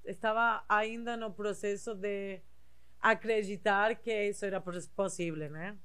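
A woman from southern Spain, a native Spanish speaker, talking casually in non-native Portuguese with a Spanish accent, slightly halting and mixing in Spanish words. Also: medium pitch, fairly even intonation, a bit bright, clean tone. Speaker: interview guest, body piercer. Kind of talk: casual conversational storytelling.

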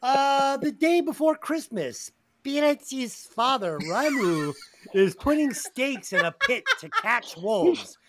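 A man hosts a relaxed movie review podcast. His pitch moves a lot as he reads out a plot summary, tripping over names, then slips into loose chat about the film. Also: slow, gravelly, heavy background noise. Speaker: podcast host.

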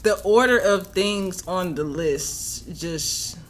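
A woman's voice: on the low side, takes it slowly, slight background noise. A woman co-hosting a podcast in blunt, playful casual banter. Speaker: podcast co-host.

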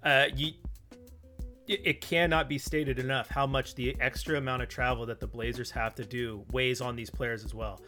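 A man with an average-pitched voice talks through a sports point in a casual podcast style. There is faint music behind him. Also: fairly even intonation, moderate pace, sharp tone. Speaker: sports podcast speaker who has traveled with the team.

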